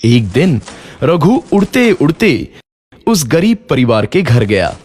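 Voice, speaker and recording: A man's voice: a little high, big pitch swings, slow pace, darkish tone. A man narrating a scripted children's story.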